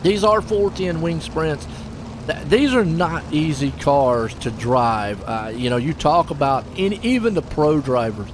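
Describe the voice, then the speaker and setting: A man's voice: fairly high pitch, expressive, measured pace. A man hosting and commentating a sim race stream, chatty and folksy, joking with his co-host. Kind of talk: casual sim racing commentary. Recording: noisy broadcast mix with engine noise.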